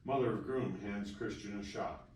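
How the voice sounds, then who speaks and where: Low-voiced man reading stage directions, roomy sound. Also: normal speed, darkish tone. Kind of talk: scripted narration at a screenplay table read. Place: festival table read in a room. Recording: echoey room, distant mic, faint noise.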